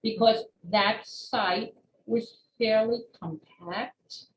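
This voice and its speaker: A woman's voice: on the high side, extremely slow. A woman talking as an interview guest, an author explaining her work in careful detail. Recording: roomy echo, faint background noise.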